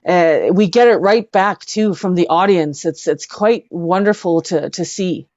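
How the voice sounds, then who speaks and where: A Canadian woman with a fairly low voice gives conversational interview answers. She speaks haltingly and earnestly, pausing to think. The sound is dry and a bit dull, like lo-fi audio. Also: darkish tone, no echo. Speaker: guest, singer-songwriter and speaker.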